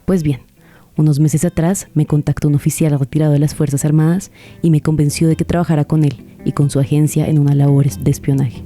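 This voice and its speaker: Actress as very mellow female secretary. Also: deep voice, little pitch movement, a little fast, soft background music. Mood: measured, confessional. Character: earnest female government secretary giving testimony. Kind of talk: scripted first-person account in audio drama.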